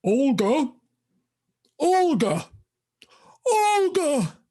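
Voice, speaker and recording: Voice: high voice, big pitch swings, extremely slow, warm tone, voice pushed up, heavily acted. A storyteller voicing a posh, proper little squirrel from a fairy tale, speaking gratefully. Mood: urgent calling.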